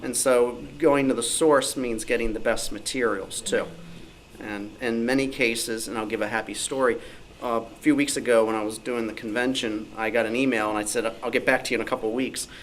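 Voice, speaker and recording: A man's voice: mid-range voice, fairly even intonation, fairly quick, fairly bright tone. A man speaking as an author and researcher, telling anecdotes in a panel talk at a club meeting. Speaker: author and researcher of old-time radio, panel guest. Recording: clearly noisy live room.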